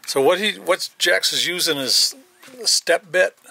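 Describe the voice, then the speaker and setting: A man's voice: high-pitched, unhurried, creaky, sharp tone. An older man casually explaining tools while helping out, picked up by someone else's handheld camera. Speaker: helper on the build. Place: inside and beside a school bus. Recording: a little distant from mic, faint noise.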